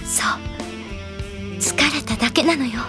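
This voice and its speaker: High-voiced, caring young anime woman, played by an actress. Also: measured pace, music in the background, close to an everyday voice. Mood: worried. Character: caring, brisk young woman, loyal teammate. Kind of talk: Japanese anime audio drama acting.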